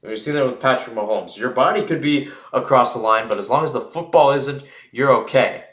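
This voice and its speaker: A man's voice: mid-range voice, brisk, fairly bright tone, roomy sound. A man giving live play-by-play football commentary in an informal way, thinking aloud and correcting himself as he goes.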